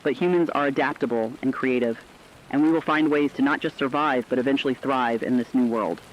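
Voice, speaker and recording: A man's voice: medium pitch, a little hiss or hum, lightly acted. Solemn apocalypse radio commentator played by a man, lo-fi. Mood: solemn, reflective. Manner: earnest, philosophical, deliberate. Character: solemn, reflective male radio commentator addressing apocalypse survivors. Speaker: radio co-host. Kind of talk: scripted reflective radio commentary.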